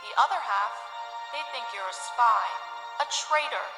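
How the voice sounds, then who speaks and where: An actress playing a brisk, no-nonsense young woman in a video game, blunt and assertive. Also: on the high side, lively intonation. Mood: exasperated, explaining. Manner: matter-of-fact, assertive. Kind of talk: dramatic video game acting. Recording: echoey, long reverb, clear music behind.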